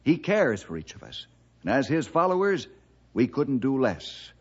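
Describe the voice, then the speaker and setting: A principled western ranger, earnest and plain-spoken. He sounds like the steady hero of a radio adventure. The recording is dull and lo-fi. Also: medium pitch, moderate pace, breathy edge. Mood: calm, earnest. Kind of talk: radio adventure drama acting.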